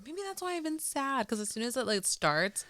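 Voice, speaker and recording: A woman's voice: a little high, very animated, a little fast, a bit bright. A woman bantering casually on a podcast, blunt, slangy and teasing, with some swearing.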